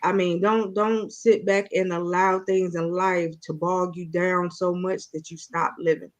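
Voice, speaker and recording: A woman's voice: lowish voice, flat delivery, measured pace. Preachy woman radio host. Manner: preachy, repeats phrases, checks in with listeners. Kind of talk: faith-based motivational talk.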